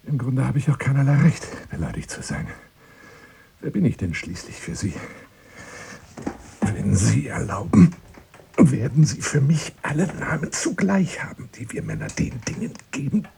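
An actor playing a lonely, boastful gentleman courting by telephone in formal, flowery German radio drama style, moving between murmurs and outbursts. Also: medium pitch, sing-song, normal speed, creaky, background noise. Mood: resigned, courting. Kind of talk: radio drama monologue as one side of a phone call.

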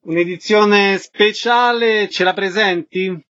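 A man's voice: high voice, slow, a bit bright, no echo, highs cut off. A man hosting a radio programme, reading announcements and introducing a guest. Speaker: radio host. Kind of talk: scripted radio announcing and interview. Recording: radio broadcast.

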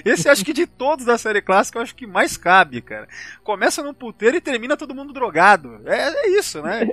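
A man's voice: very high voice, big pitch swings, brisk, pushed and bright. A Brazilian man hosting a casual podcast chat, slangy and exclamatory in his way of talking. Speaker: podcast host.

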